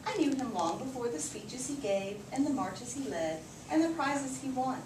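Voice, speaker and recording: A woman reading a picture book aloud to children like a teacher, with expressive, emphatic storytelling. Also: high voice, normal speed. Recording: roomy, distant mic, clearly noisy background.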